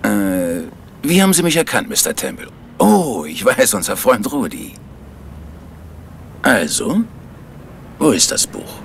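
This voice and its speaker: An actor playing a sly, menacing gangster in a crime radio play, mocking and commanding. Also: male voice, on the low side, very animated, unhurried, vocal fry, pauses often, a little hiss or hum, full character voice. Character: sly, menacing male gangster making demands. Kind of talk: 1970s German crime radio play acting.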